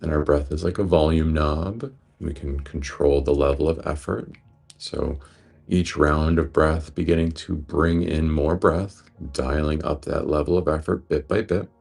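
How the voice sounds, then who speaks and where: Super deep voiced guy leading breathwork, gentle and slow, lots of fry, music behind him. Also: steady tone. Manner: gentle, unhurried, reassuring. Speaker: meditation and breathwork guide. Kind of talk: guided meditation instruction.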